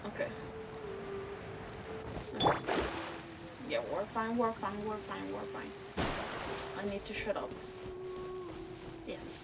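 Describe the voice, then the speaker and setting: A woman's voice: medium pitch, takes it slowly, creaky, pauses often, very noisy. A woman streaming a game with casual commentary, muttering and repeating herself. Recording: distant mic under loud game music.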